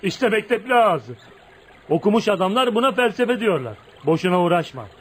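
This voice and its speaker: A man's voice: sits high, animated, fairly quick, husky. An actor playing a brooding, jealous man of volatile temper in a dramatic, intense radio theatre style. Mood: furious, anguished. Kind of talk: Turkish radio theatre acting.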